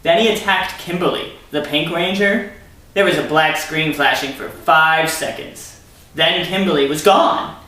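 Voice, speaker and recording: A man's voice: a little high, measured pace, sharp tone. A man reading a story aloud theatrically, breaking in with sarcastic asides as commentary. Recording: very echoey room, distant mic.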